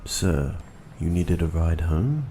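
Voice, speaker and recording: An actor playing an eerie, coldly polite suited agent in an audio drama. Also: male voice, low voice, animated, slow, mellow, a little hiss or hum, heavily acted.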